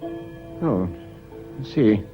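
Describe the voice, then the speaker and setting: An actor playing a tense, defensive, controlled schoolmaster and widower in a British radio drama, speaking in a clipped, formal way with a British accent. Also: male voice, medium pitch, wildly swooping pitch, extremely slow, lo-fi sound, soft background music, heavily acted. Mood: defensive, indignant.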